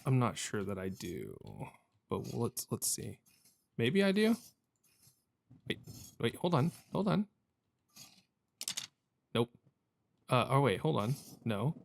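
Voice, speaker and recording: Hesitant man commentating over clear background noise. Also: mid-range voice, sing-song, slow pace, long pauses. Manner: hesitant, self-questioning, rambling. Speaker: video game streamer playing and commenting. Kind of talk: casual let's play commentary, thinking aloud.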